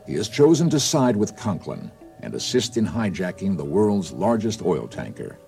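Gravelly, dark-voiced male narrator. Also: sits low, sing-song, moderate pace, faint music behind. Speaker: program host narrator. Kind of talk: scripted dramatic narration.

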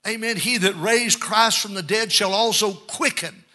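Older guy preaching pentecostal style, high really piercing forceful voice, keeps saying amen and calling for a response. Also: unhurried. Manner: exhorting, punctuated with frequent amens and calls for response. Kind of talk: fervent Pentecostal church sermon.

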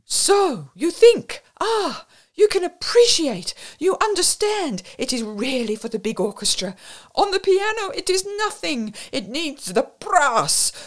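High-pitched, gushing bohemian lady. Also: full character voice. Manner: effusive, exclamatory, broken foreign phrasing. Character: gushing, excitable foreign bohemian woman, lean and young. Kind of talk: audiobook character voice.

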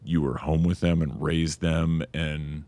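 Man, very deep voice, podcast host, relaxed casual chat. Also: unhurried. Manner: relaxed, swears freely. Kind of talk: casual conversation.

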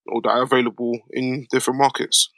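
A man from the UK, a guest on a podcast, explaining things in a plain, measured way. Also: mid-range voice, little pitch movement, a bit bright, no room sound. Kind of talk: casual interview chat.

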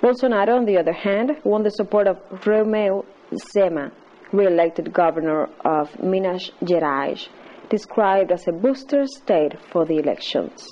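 A woman's voice: medium pitch, moderate pace, warm tone. A woman reading a scripted news report as a radio reporter. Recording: dull lo-fi shortwave radio broadcast.

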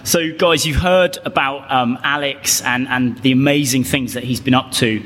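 A man's voice: medium pitch, steady tone, normal speed, fairly bright tone. A man hosting a podcast interview, talking with many fillers and repeated words. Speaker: podcast host. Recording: long reverb, faint music.

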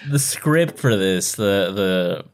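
A man's voice: low-pitched, animated, slow. A man co-hosting a podcast, chatting informally about books and repeating himself for emphasis. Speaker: podcast co-host. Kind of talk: casual book discussion chat.

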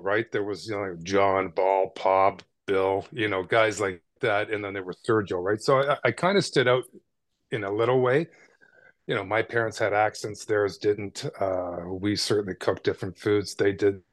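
A man's voice: lowish voice, no echo. A man from Canada, a podcast guest, telling his story in a casual, conversational way with frequent "right?" tags and small repeats.

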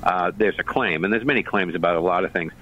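Co-host, male, very low voice, rambling casual talk. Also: fast talker, a bit bright, dull audio. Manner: rambling, many restarts. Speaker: radio show co-host. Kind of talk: casual panel chat.

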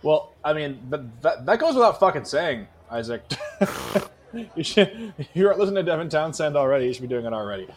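A man's voice: on the high side, sing-song, fairly quick, a little hiss or hum. A man co-hosting a podcast in casual, profane banter, emphatic and repeating himself for effect. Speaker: podcast co-host.

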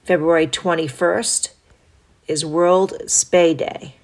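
A woman with a low voice hosts her own podcast. She is stuffed up with a cold, and her nose sounds blocked. She reads items from a list in a steady, even way and drops in brief asides. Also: slow pace. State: congested from a cold. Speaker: podcast host. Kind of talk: solo podcast reading a list.

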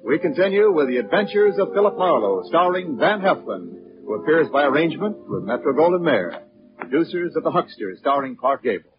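Casting: American male forties radio announcer, animated swooping delivery, dull vintage broadcast with music behind. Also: fairly high pitch, brisk. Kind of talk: 1940s radio announcing and ad read.

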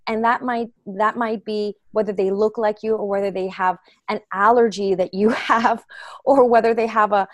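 A woman being interviewed about reading children's books online, talking in an earnest, expressive way and laughing easily. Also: medium pitch, normal speed, no echo, lo-fi sound. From United States. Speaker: guest, children's book reader. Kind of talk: casual interview answers.

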